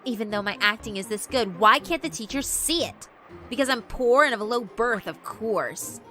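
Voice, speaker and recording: A woman's voice: on the high side, expressive, normal speed, sharp tone, faint music behind. A woman voicing a pompous, boastful schoolgirl who melodramatically plays a princess in a horror visual novel reading. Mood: indignant, proud. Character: haughty, bragging, theatrical young schoolgirl playing princess.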